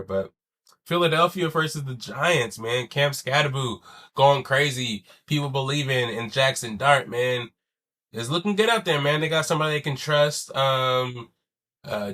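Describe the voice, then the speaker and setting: A man's voice: medium pitch, pushed and bright. A young man hosting a casual solo sports podcast, loose and slangy, sounding wheezy and stuffy from a chest cold. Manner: loose and conversational, swears, slangy asides. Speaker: podcast host.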